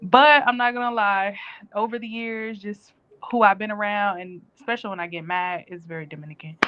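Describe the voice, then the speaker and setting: A woman co-hosting a casual live-stream chat, telling stories in a lively, animated way. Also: medium pitch, a little fast, lo-fi sound, noisy background.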